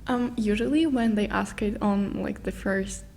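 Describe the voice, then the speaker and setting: A young woman from Russia, a guest in a casual interview, talking haltingly as she searches for words in English. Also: medium pitch, fairly even intonation, creaky, darkish tone.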